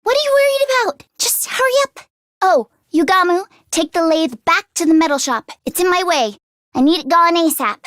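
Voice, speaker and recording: A woman voicing an earnest, anxious teenage girl mechanic in an anime-style video game, expressive and shifting between timid and sassy. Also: very high-pitched, normal speed, no echo, clean studio sound. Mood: bossy. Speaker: voice actress.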